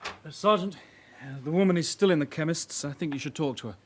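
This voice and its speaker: An earnest young detective constable in a radio crime drama. His voice is slightly high for a man, and he reports facts with small hesitations. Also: brisk, slightly rough. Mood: deferential. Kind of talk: naturalistic radio drama acting.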